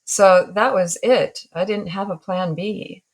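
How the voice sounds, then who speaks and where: A woman's voice: mid-range voice, slow. A woman in her sixties from Northern California, a guest artist in an interview, talking in a reflective, wry way and laughing at herself. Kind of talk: relaxed interview talk.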